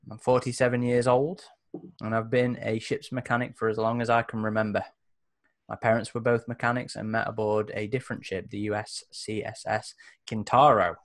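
A man's voice: lowish voice, little pitch movement, normal speed. A man in his thirties chatting casually as a host and player in a tabletop roleplaying game, on an indoor call. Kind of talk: casual chat. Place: indoor room on a video call.

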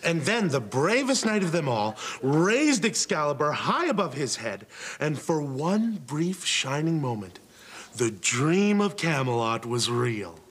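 A man's voice: fairly high pitch, sing-song, unhurried, a bit bright, breathy edge, full character voice. A man playing an earnest storyteller solemnly telling knightly legends in a dramatic style. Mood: solemn wonder.

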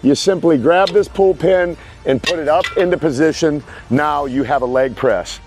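A middle-aged man with a slightly high voice talks plainly and frankly about a piece of home gym equipment. He walks the listener through it step by step in a casual review style. He is recorded outdoors in a backyard on a clip-on mic, with faint music playing underneath. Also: normal speed, darkish tone. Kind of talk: casual product review to camera.

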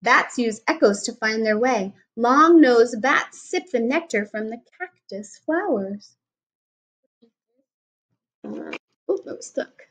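A woman's voice: fairly high pitch, expressive, takes it slowly, long pauses, fairly bright tone, rough recording. A woman reading a picture book aloud at home. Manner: patient, teacherly, asks questions. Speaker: speech teacher reading aloud. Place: home kitchen, indoors. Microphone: webcam at table distance.

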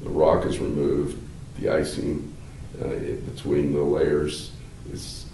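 An older man, a law professor, explaining at length in a measured, halting way with many fillers, seated in a wood-paneled room. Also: rumbling low, monotone, dark tone. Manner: gestures with hands while talking. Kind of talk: explanatory interview answers. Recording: roomy, slightly distant, faint hiss.

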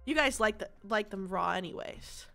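A young woman streaming casually, with a stuffy nose from allergies, loud and prone to sudden screaming. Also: medium pitch, expressive, moderate pace, vocal fry, faint music behind. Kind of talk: casual livestream chat.

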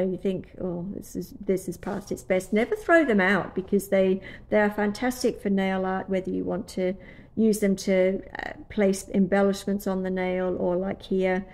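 A woman's voice: mid-range voice, gravelly, darkish tone. A woman with an Australian accent, a bit nasal from a cold, chatting through a nail tutorial voice-over and explaining each step. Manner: chatty, explanatory, apologetic asides.

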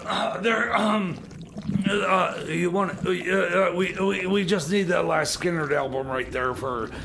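A man's voice: high-pitched, slow, creaky, noisy background, exaggerated voice. A man playing a scatterbrained, stammering time-machine inventor in a radio comedy. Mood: flustered. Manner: stammering, nervous, repetitive.

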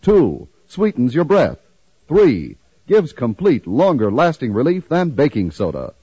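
A man's voice: medium pitch, huge pitch swings, a little slow, mellow, slightly rough, no room sound. An American man delivering an emphatic 1950s radio advertisement as a commercial announcer. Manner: emphatic, enumerating sales delivery. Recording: dull band-limited 1950s radio recording.

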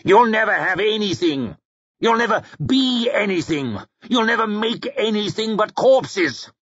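A man's voice: on the high side, animated, a little slow, a bit bright, no room sound, muffled recording. Man acting a scornful, bitter fallen warlord. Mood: bitter contempt. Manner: taunting, scornful. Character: mocking, bitter defeated warlord taunting his killer.

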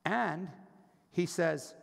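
A man's voice: high-pitched, drawn-out words, creaky. An older American man preaching a teaching sermon in a conversational, explanatory manner, posing rhetorical questions. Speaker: pastor preaching. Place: large church auditorium stage, indoors. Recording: reverberant hall sound.

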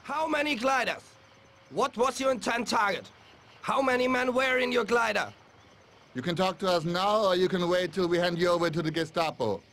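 An actor playing a threatening German officer who interrogates a prisoner in a video game cutscene. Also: male voice, sits high, moderate pace, a bit bright, a little hiss or hum. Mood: demanding. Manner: threatening, demanding. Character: menacing German officer interrogating a captured pilot.

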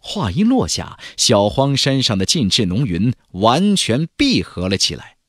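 Husky, highly animated male audiobook narrator. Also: unhurried, no echo, studio quality. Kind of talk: dramatic scripted narration of a fantasy novel.

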